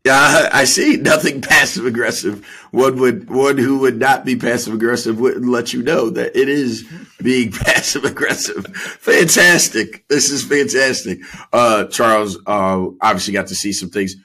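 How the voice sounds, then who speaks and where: A man hosting a casual sports discussion, speaking in a measured way with wry teasing. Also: mid-range voice, bright, forceful tone, breathy edge. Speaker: sports podcast host.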